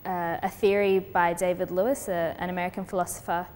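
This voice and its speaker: A young woman talks through her art in a measured, reflective way, pausing on the odd "um". Her voice sits somewhat low. The room rings with a long reverb and a faint hum runs underneath. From Colombia. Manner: thoughtful, steady, with frequent fillers. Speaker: artist. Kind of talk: explaining her artwork in an interview. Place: gallery space.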